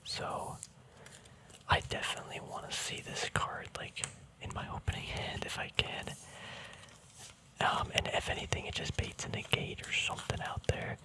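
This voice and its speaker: A man speaking in a soft, unvoiced whisper, relaxed and chatty, hosting a casual ASMR walkthrough. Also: moderate pace, poor audio. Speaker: ASMR video host.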